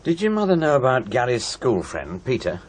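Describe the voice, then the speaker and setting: An actor playing a sober, sympathetic investigator, probing in a reserved way, in a radio drama. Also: male voice, mid-range voice, huge pitch swings, moderate pace. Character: sober, sympathetic male investigator asking questions. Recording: noisy radio play scene with ambience.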